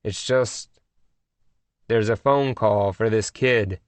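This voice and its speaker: A man reading an audiobook voices a cinema usher, flat and matter-of-fact. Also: lowish voice, takes it slowly, clean tone, no echo. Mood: deadpan. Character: gruff adult male cinema usher.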